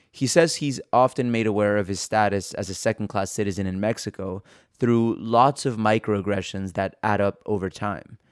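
A man's voice: on the low side, smooth, dry recording, studio quality. A man reporting and hosting in a scripted narration style. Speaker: podcast host and reporter. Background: music bed.

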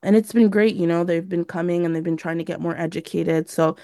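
Low, gravelly-voiced young woman. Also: steady tone, brisk, mellow, dry recording, studio quality. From San Diego, United States. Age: young adult. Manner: conversational, many "you know" and "like" fillers. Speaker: community organizer, interview guest. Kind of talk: casual interview answers.

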